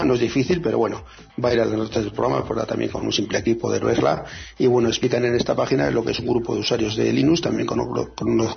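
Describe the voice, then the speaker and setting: A man co-hosting a radio show about free software, talking in a hesitant, casual way with many fillers and restarts. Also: mid-range voice, gravelly, lo-fi sound.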